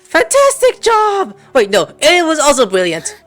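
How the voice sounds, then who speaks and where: A woman's voice: high-pitched, wildly swooping pitch, unhurried, a bit bright. A woman giving casual let's play commentary, blunt, sweary and reactive. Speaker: gaming streamer. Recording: game music behind.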